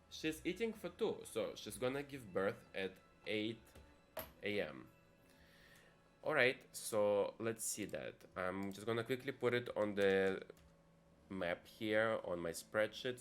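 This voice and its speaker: A man from Italy with a very deep voice talks through his game on a live stream, chatty and thinking aloud as he goes. Light music plays faintly underneath. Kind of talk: casual live-stream gameplay commentary.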